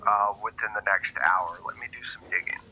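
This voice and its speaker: A man's voice: deep voice, brisk, bright, forceful tone, husky, pitched below the performer's own voice, full character voice. A man playing a brusque, weary handler of covert agents on a phone call in a tabletop roleplaying game. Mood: resigned, curt.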